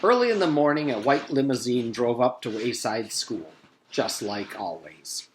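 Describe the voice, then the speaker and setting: Man, forties, mid-pitched, teacher read-aloud, expressive, deliberate phrasing, children's book. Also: slight background noise, rough recording. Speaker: teacher reading aloud to class. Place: small room at home.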